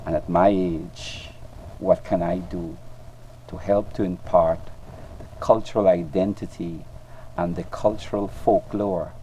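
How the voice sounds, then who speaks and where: Deep-voiced older Guyanese man, earnest. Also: steady tone, unhurried, warm tone, slight background noise, rough recording. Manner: earnest, proud, repeats words as he searches for them. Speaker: storyteller and clown interviewed. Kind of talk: reflective interview answers.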